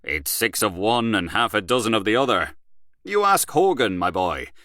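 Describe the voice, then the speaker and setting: A man voicing a boastful, worldly Irish male journalist who talks loud and swaggering. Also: on the low side, big pitch swings, normal speed, no echo, clean studio sound.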